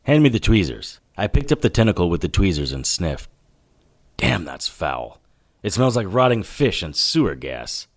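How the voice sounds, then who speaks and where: An actor playing a sardonic 1940s detective who narrates a noir audio drama and trades wisecracks. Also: male voice, sits low, sing-song, normal speed, exaggerated voice. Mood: gruff, skeptical. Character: wry, hard-boiled 1940s male occult detective narrator.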